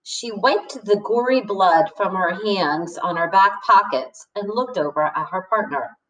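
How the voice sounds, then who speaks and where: A woman's voice: mid-range voice, unhurried, fairly bright tone. A woman reading a novel aloud expressively as a storyteller. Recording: echoey room, distant from the mic.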